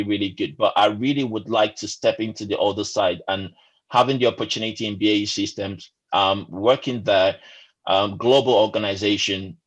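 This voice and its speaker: A man in his forties, originally from Nigeria, answering interview questions earnestly over a remote call, with many fillers and restarts. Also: lowish voice. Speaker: podcast guest. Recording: muffled lo-fi remote call audio.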